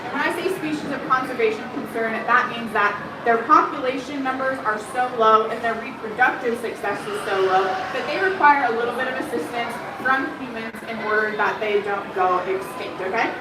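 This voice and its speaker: Woman educator, high-ish voice, loud and chatty talk to a crowd, heard from far off in an echoey room. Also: brisk, hardly any pauses. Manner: chatty, asks the crowd questions, many ums; says she has a loud voice. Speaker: bird conservation educator. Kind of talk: public talk to a live audience. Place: room at an aquarium. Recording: echoey room, far from the mic, background noise.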